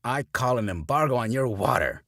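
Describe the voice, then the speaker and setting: A man voicing a ranting old backwoods preacher who shouts fire-and-brimstone accusations. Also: low-pitched, very animated, moderate pace, breathy edge, no room sound, studio quality, full character voice. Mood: furious.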